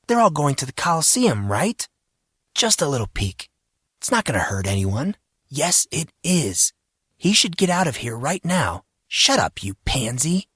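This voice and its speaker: A man's voice: a little high, fairly bright tone, no room sound, studio quality, pitched above the performer's own voice. A man voicing an irritable, sarcastic fourteen-year-old schoolboy in an exasperated manner for an audio story.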